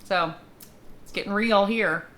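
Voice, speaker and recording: A woman's voice: mid-range voice, unhurried, fairly bright tone. A woman co-hosting in a casual, conversational chat. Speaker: co-host.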